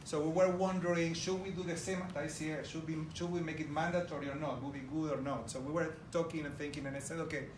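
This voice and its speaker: A man's voice: fairly high pitch, steady tone, fast, few pauses. A middle-aged man from Argentina, with an Argentine Spanish accent, gives an enthusiastic presentation to a live audience and talks to them directly. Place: large room with an audience. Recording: roomy, distant audio with background noise.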